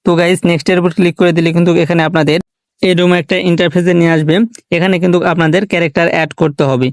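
A man's voice: fairly high pitch, little pitch movement, racing, dry recording, clean studio sound. A man hosting a tech tutorial, giving step-by-step instructions and addressing viewers as friends. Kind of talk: step-by-step app tutorial narration.

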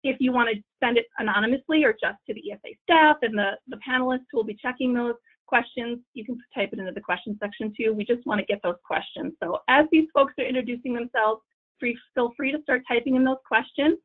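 A woman's voice: a little high, quick pace. A woman hosting an online panel, chatty and informal as she explains ways to volunteer. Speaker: host. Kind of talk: webinar moderation. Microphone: video call. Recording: tinny phone-like audio.

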